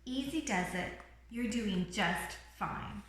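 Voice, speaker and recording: A woman's voice: mid-range voice, lively intonation, takes it slowly. A woman voicing a cheerful, encouraging little bird guide in a children's picture book, with a bubbly, reassuring manner. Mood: calm, encouraging. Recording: roomy, echoing room sound.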